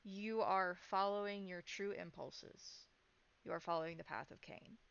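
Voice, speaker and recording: Female game master, lowish gravelly voice, conversational storytelling with firm rulings, slightly dull stream sound. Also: a little slow, a bit bright, no room sound. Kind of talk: narrating and adjudicating a tabletop vampire game.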